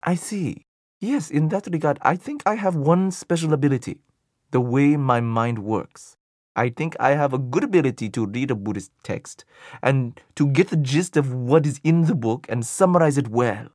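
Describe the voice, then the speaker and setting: A man's voice: mid-range voice, lively intonation, moderate pace, darkish tone, no room sound, studio quality, natural voice. A man voicing an older male Buddhist teacher in an audiobook dialogue, earnest and questioning, thinking aloud as he searches for meaning. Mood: reflective. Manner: questioning, searching, thoughtful delivery.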